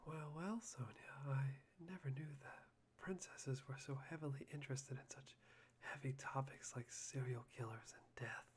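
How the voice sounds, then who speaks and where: A performer playing a polite but unsettling young male student, breathy and softly teasing, in an ASMR roleplay. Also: medium pitch, normal speed, slight background noise, lightly acted. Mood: sly, teasing. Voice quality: breathy, husky.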